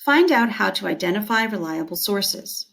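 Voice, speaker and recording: A woman teacher reading scripted lesson text aloud in a deliberate, instructional manner. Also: medium pitch, expressive, vocal fry, a bit bright.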